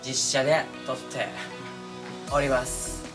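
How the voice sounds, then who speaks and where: A man hosting his own channel, talking casually to his followers with drawn-out hesitations and asides that seek agreement. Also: medium pitch, level tone, music in the background. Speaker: online voice-drama creator and channel host. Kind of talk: casual monologue to camera, addressing followers.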